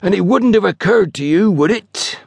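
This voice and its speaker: A man's voice: mid-range voice, sing-song, normal speed, husky, no echo, pitched above the performer's own voice. A man voicing a large, pompous, easily bewildered rustic station master in an audiobook story, with lofty dignity and uneducated grammar. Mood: heavily sarcastic. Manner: lofty, sarcastic dignity with dropped grammar and misplaced aitches.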